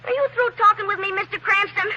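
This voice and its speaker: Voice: very high-pitched, fairly even intonation, fast. An actor playing a mischievous, talkative little boy in a 1940s radio drama, with naive, chatty phrasing. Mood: polite, innocent. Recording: old radio recording, faint noise.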